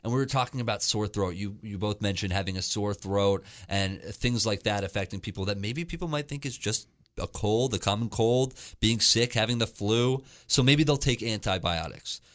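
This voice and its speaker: A man's voice: fairly low pitch, normal speed, fairly bright tone. A man hosting a health talk radio show in an upbeat, promotional manner. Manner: upbeat, promotional, reads out phone numbers.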